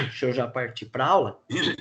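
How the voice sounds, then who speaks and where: A Brazilian man with a cold-roughened, hoarse voice, teaching an online class in a casual, chatty way. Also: medium pitch, sharp tone. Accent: Brazilian Portuguese. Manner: casual, frequent filler words and restarts.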